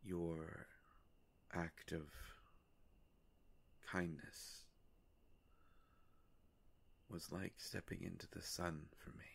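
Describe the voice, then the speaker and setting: A man plays an ancient, courtly vampire in an intimate audio roleplay. The voice sits very low with a heavy creak. He speaks very slowly and formally, with melancholy, hushed hesitations. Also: flat delivery, exaggerated voice. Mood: wistful, solemn. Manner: formal, halting, hushed, measured phrasing.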